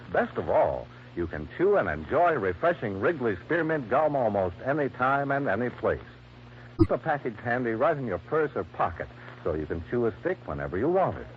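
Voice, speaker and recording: A man announcing for a 1950s radio sponsor in a polished, emphatic, scripted sales delivery. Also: medium pitch. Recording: dull vintage radio recording, hum.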